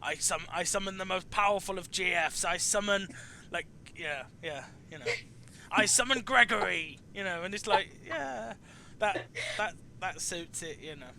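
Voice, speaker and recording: Man, high bright voice, stammering repeats, casual host chat, faint noise behind. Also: normal speed, gravelly. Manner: stammering, repeats phrase openings. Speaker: host interviewing a guest. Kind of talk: casual chat about video games.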